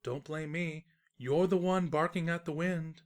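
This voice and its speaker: A woman narrator reading a scripted comedic story in an expressive, wry storyteller manner. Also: sits low, unhurried.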